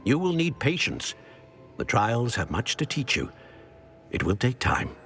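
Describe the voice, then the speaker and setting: A man voicing an ancient guardian of secrets, speaking in a grave, formal, measured way. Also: medium pitch, wildly swooping pitch, fairly bright tone, faint music behind, exaggerated voice. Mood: solemn. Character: solemn ancient male keeper of secrets.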